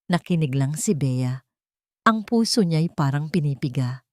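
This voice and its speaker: A woman's voice: low-pitched, animated, unhurried, darkish tone, dry recording, studio quality. A woman storyteller reading scripted narration of a dramatic love story.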